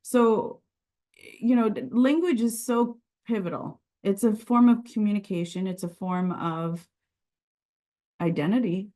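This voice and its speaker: A woman whose first language is Sicilian talks in a conversational interview, at a middle pitch. She sounds earnest and reflective and often restarts her phrases. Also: unhurried, warm tone. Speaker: podcast guest, regulatory and quality director.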